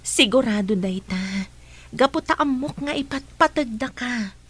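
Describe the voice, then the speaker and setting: A woman's voice: fairly high pitch, lively intonation, measured pace, a bit bright, husky, full character voice. A woman acting a supportive, reassuring young friend in an Ilocano radio drama.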